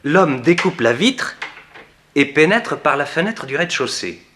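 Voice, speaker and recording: Bright, animated male detective's sidekick. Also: a little high, measured pace, a little hiss or hum. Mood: eager. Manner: animated, emphatic reasoning. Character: eager male detective's sidekick, theorizing excitedly. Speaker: actor in a detective radio serial. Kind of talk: 1960s French radio drama acting.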